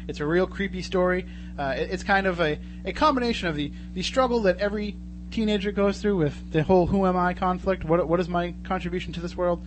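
A man's voice: on the high side, noisy background. A man hosting a radio show in a casual, chatty and jokey way from a studio.

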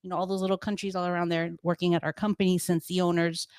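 A woman's voice: on the low side, flat delivery, fast, a little mellow. A young woman co-hosting a casual podcast, chatty, laughing easily and trailing off with fillers. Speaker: podcast co-host. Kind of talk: casual chat.

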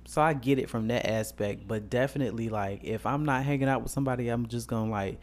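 A man's voice: medium pitch, quick pace. Blunt young man in casual chat. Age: 20s. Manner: blunt, swearing, laughing.

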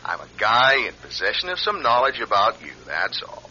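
A man's voice: mid-range voice, sing-song, moderate pace, sharp tone. An actor playing a taunting, falsely friendly male blackmailer speaking over the telephone in a radio drama. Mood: taunting, sly. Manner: taunting, knowing, needling. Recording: dull old radio recording, faint noise.

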